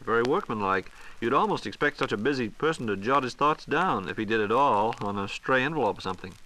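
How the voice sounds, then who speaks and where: A fast-talking American man with a bright, crisp-edged voice at a middle pitch. He plays the folksy questioning co-host in scripted 1940s radio dialogue, prompting his partner in an old broadcast recording. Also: expressive, poor audio.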